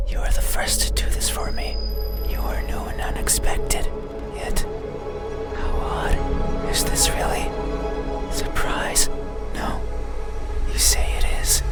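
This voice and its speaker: Slow whispering lonely monster, acted by a man. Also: close to an everyday voice. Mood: intimate, questioning. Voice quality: breathy whisper. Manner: slow, deliberate, halting whisper. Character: lonely, brooding, menacing monster stalking a sleeper. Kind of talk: ASMR visual novel monologue. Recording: music behind the voice.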